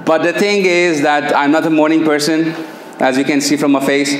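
A man's voice: on the high side, fairly even intonation, moderate pace. A man in his thirties with a Pakistani accent, whose first language is Persian, giving a chatty live seminar talk on a handheld mic in a room with a projector. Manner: chatty, engaging, asks audience questions. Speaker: NLP coach and trainer. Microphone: handheld microphone. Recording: echoey room, faint hum.

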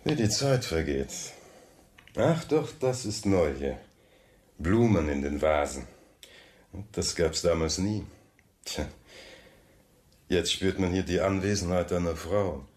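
A deep-voiced man of money in a radio play. He is suave and coolly probing, talking to his rival with smooth, polite condescension. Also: expressive, takes it slowly, pauses often, darkish tone. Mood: musing. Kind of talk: German radio drama dialogue.

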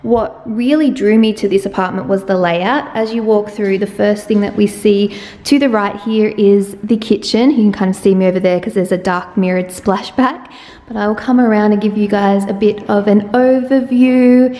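A woman's voice: mid-range voice, moderate pace, dark tone. Woman, twenties, casual vlog chat, cheerful, handheld camera, echoey empty apartment. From Australia. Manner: chatty and upbeat, with frequent laughs. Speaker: vlogger. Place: empty new apartment, indoors. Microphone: handheld camera at arm's length.

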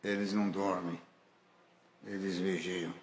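A man's voice: deep voice, level tone, a little slow, a little hiss or hum. A man with a Brazilian Portuguese accent preaching a fervent sermon in a church hall, building to emphatic declarations. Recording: echoey church hall with faint ambience.

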